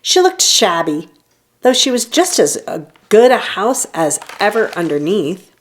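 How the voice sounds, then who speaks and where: A woman reading a children's story aloud in an expressive storytelling manner, leaning on key phrases. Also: mid-range voice, unhurried, slight background noise. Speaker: storybook reader. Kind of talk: children's read-aloud narration.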